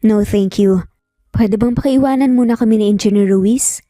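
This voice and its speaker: A woman's voice: mid-range voice, normal speed, warm tone, no room sound, lightly acted. A Filipino woman voicing an elegant, self-assured female hotel client in a narrated story, formal and composed. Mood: politely dismissive. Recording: background music under voice.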